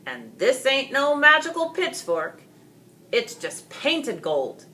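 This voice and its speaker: A woman voicing an outraged, blustering country father in a storybook, yelling his folksy accusations indignantly. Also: high-pitched, wildly swooping pitch, slow pace, voice pushed up, heavily acted.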